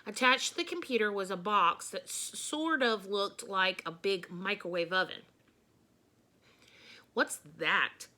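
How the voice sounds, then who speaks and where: A woman reading a children's storybook aloud in an expressive, emphatic way, like a teacher addressing young listeners. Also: mid-range voice, normal speed, hardly any pauses.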